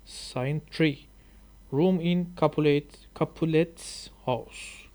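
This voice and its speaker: A man from Turkey with a Turkish accent, a YouTuber learning English who talks and reads aloud hesitantly, with many pauses and fillers. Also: a little high, warm tone. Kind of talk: halting casual reading vlog.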